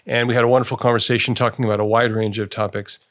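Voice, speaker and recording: A middle-aged man hosting a tech podcast at a middle pitch. He sounds friendly and keen, and a quick laugh often creeps into his talk. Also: level tone, a bit bright. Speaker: podcast host.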